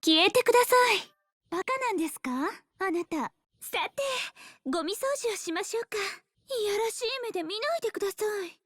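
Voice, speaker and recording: High-voiced, scornful anime woman. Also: expressive, very fast, fairly bright tone, no echo, clean studio sound. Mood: cold contempt. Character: cold, scornful young woman anime game character. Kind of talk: Japanese anime game voice acting.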